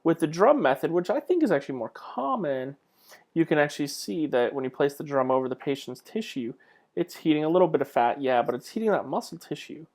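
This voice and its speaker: A man's voice: fairly high pitch, big pitch swings, a little fast, a little mellow. A man giving an informal slide lecture in a conversational way, with rhetorical questions and self-deprecating asides. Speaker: lecturer, clinical instructor.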